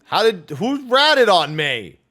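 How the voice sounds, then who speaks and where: Wildly animated male game streamer. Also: high voice, slow. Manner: joking, reactive, excitable. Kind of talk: casual live playthrough commentary.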